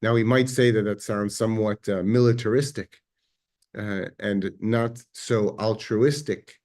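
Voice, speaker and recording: A man with an Israeli accent giving a measured, reflective history lecture, pausing now and then with fillers. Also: lowish voice, steady tone, darkish tone. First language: Hebrew.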